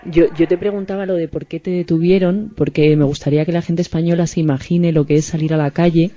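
A dry, slightly muffled recording of a man interviewing for radio. His voice is a little high yet very dark in tone, and he speaks conversationally. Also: fairly even intonation, fast, dry recording.

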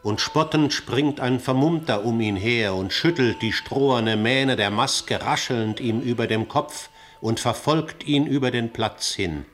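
A man narrating a classical radio drama in a measured, declamatory way. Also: fairly low pitch, fairly bright tone. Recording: faint music underneath.

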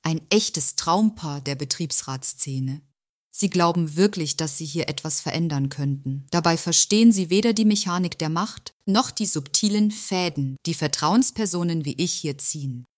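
Low-voiced smug schemer played by a woman. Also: moderate pace, no echo, clean studio sound, close to an everyday voice. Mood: scornful, smug. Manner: self-satisfied, feigned innocence, sly. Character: smug, scheming female confidante of a company director. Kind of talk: audio drama monologue, confessional narration.

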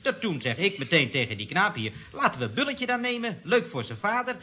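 High, hesitant, deferential employee. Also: male voice, very animated, a little fast, sharp tone, husky, exaggerated voice. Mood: apologetic. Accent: Netherlands Dutch. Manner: hesitant, obsequious, stammering. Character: deferential, apologetic male office employee answering his boss. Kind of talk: 1970s radio comedy acting.

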